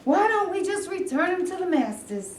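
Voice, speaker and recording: A woman's voice: extremely high pitch, big pitch swings, normal speed. A woman reading the part of a griping, aggressive teenage Confederate private in an amateur stage play. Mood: scornful. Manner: griping, aggressive, folksy slangy talk. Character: hostile bigoted teenage boy, Confederate private. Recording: roomy, distant, faint background noise.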